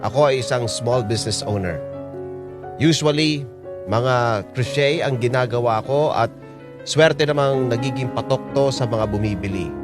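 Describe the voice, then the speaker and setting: A man with a Filipino accent reads as an actor, and tells a personal story in measured, wistful drama narration. Music plays clearly behind the reflective voice. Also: mid-range voice, natural voice. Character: reflective small business owner telling a life story.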